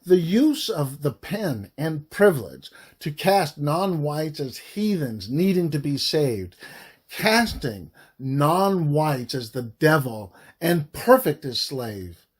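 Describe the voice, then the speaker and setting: A man narrates written history at a very slow pace in a slightly high voice. He is deliberate and emphatic, breaking sentences into short, halting phrases as he reads aloud. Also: very animated, husky. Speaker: audiobook reader, author of the book.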